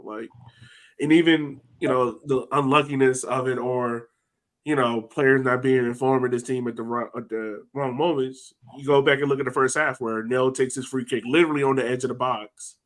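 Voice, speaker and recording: A man hosting a soccer podcast, speaking loosely with lots of "like" and quick restarts. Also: mid-range voice, fairly bright tone. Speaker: podcast host. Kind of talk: casual soccer podcast chat.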